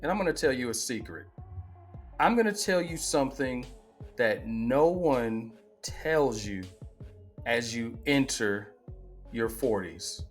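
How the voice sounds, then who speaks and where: A man's voice: medium pitch, expressive, takes it slowly, long pauses, breathy edge, soft background music. A man in his forties hosting a sports channel, talking in a casual, emphatic way and often repeating himself. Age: 40s. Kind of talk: casual opinion monologue.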